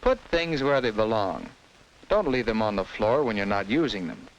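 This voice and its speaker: A man's voice: fairly low pitch, expressive, normal speed, muffled recording, a little hiss or hum. An American man narrating a 1950s educational film in simple, instructive storytelling addressed to children, on a vintage film soundtrack.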